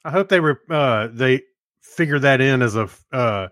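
A man's voice: mid-range voice, lively intonation, normal speed, a bit bright, dry recording, studio quality. An American man co-hosting a podcast in casual, easygoing, joking conversation. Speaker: podcast co-host. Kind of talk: casual chat.

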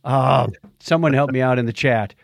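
A man's voice: mid-range voice, normal speed, creaky, dry recording, clean studio sound. A middle-aged man chatting casually and conversationally as a podcast co-host. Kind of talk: casual chat.

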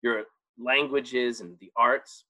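A man's voice: mid-range voice, takes it slowly, bright, forceful tone, dull audio. A man in his forties hosting an interview in a teasing, conversational way. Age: 40s. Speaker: interviewer host. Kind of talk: casual interview.

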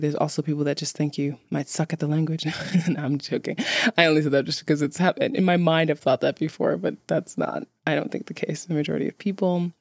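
A woman's voice: low-pitched, a little mellow, no echo. A woman who is a native English speaker, chatting casually to viewers as a vlogger in a wry, candid, self-deprecating way.

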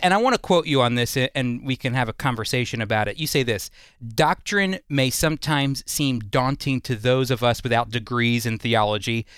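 A man's voice: medium pitch, a bit bright, clean tone, no echo, studio quality. A man hosting a radio interview, talking conversationally in an earnest, emphatic manner. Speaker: radio host.

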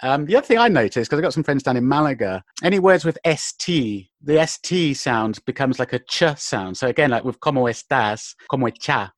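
A man's voice: medium pitch, animated, fairly quick, hardly any pauses, no echo. A man hosting an interview podcast, asking curious questions in a casual, eager way with many fillers. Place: based in Madrid.

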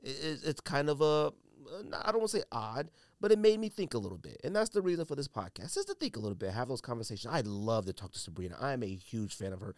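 A man's voice: mid-range voice, a little mellow, dry recording. Man thinking aloud on a dry podcast mic. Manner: hedging, self-qualifying, thinking aloud. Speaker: sneaker podcast host. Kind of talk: casual solo podcast monologue.

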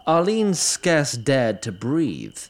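A man's voice: medium pitch, wildly swooping pitch, takes it slowly. A man reading scripted narration for a fantasy story.

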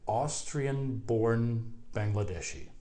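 A man's voice: medium pitch, slow, warm tone. A male podcast host whose first language is German, chatting casually and telling a story with self-deprecating asides. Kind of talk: conversational podcast interview.

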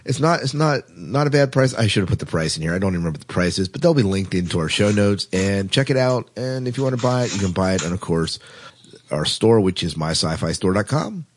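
A man's voice: on the low side, lively intonation, brisk, husky. A man co-hosting a casual podcast, chatting through science fiction news. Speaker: podcast co-host.

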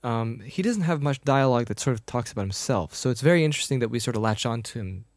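A man casually discussing a movie, rambling and animated, breaking into high voices to quote lines. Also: fast, no echo.

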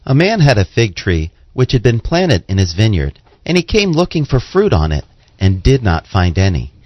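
Deep, expressive male radio reader. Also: normal speed, no echo. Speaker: radio co-host and scripture reader. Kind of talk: scripted reading on religious radio. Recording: dull lo-fi radio audio.

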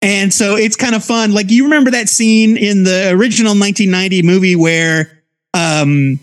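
A man with a notably high voice co-hosts a casual review podcast. He explains things in detail, in an easy conversational way with a geeky bent. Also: normal speed. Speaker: co-host of a comics review podcast.

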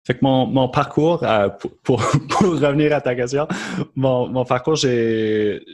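A man's voice: medium pitch, measured pace. A man in his thirties from New Brunswick with an Acadian French accent, speaking as a podcast guest in a casual, earnest way with many restarts. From Moncton, New Brunswick, Canada. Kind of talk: casual conversational interview.

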